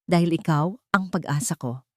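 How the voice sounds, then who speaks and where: Wounded heroine of a story drama, read by a woman, earnest, confiding, low register. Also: moderate pace, dark tone, no echo, clean studio sound, close to an everyday voice. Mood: resolved, tender. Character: wounded, soul-searching pregnant woman finding peace.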